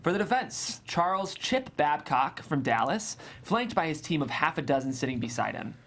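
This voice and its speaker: A narrator reading scripted stage directions at a table read in a deliberate, descriptive way. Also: mid-range voice, very animated, a bit bright.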